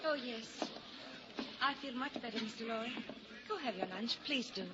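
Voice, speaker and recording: A woman plays a gentle, anxious young lady in an old radio drama. She speaks softly and earnestly in a slightly high voice. The recording is dull and heavy with noise. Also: normal speed, lightly acted. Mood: polite. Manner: polite, soft-spoken, earnest. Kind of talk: vintage radio drama acting.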